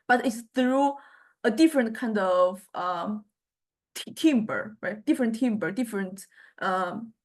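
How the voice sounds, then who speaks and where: Halting woman lecturer with a higher-pitched voice. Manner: halting, searching for words, frequent checking "right?". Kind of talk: explanatory lecture, informal and unscripted.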